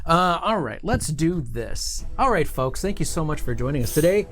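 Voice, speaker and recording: A man's voice: on the high side, lively intonation, moderate pace, fairly bright tone, faint music behind. A man hosting a stream in casual chat, with informal, hesitant phrasing. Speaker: stream host.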